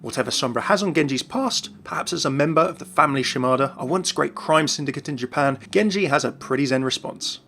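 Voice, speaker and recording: A man's voice: a little high, fast, hardly any pauses, bright, forceful tone, slight background noise. A man narrating scripted commentary for an online video.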